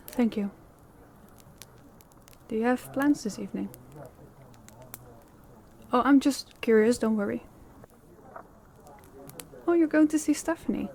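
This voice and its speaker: A woman playing a composed female company boss in an audio roleplay, speaking in a measured, politely inquisitive way with a falsely casual air. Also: fairly high pitch, a little mellow, a little hiss or hum. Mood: casually probing. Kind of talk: scripted audio roleplay acting, one-sided conversation.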